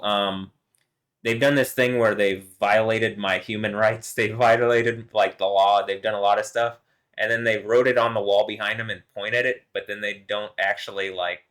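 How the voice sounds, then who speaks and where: A man's voice: fairly bright tone, clear, resonant tone. A man vlogging alone, rambling and swearing, often chuckling and trailing off mid-sentence. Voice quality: deep voice. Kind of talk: rambling solo monologue to camera.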